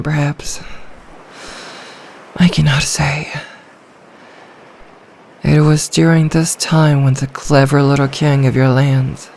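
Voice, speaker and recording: A woman voicing a lonely, ancient female sea dragon in an intimate ASMR roleplay, soft, regal and possessive, doting with quiet menace. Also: low voice, gravelly, a little hiss or hum, full character voice.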